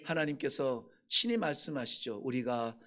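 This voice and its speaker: A man preaching a Sunday sermon as pastor, impassioned, swinging between shouted peaks and hushed lines. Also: medium pitch, normal speed.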